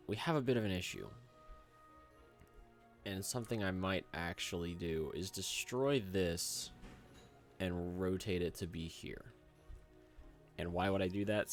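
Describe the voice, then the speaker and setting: A young man with a low, rough voice, hoarse from a cold. He chats loosely through his gameplay commentary, full of ums and uhs, into a desk mic. Faint music plays under his talk. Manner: rambling, filler-heavy and chatty. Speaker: gaming channel host.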